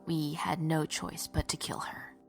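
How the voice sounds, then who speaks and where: A woman's voice: low-pitched, little pitch movement, a little slow, creaky, breathy edge, in a whisper, faint music behind, exaggerated voice. A woman playing a stern, defiant holy warrior in a fantasy audio drama, speaking formally and resolutely. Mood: solemn, resolute.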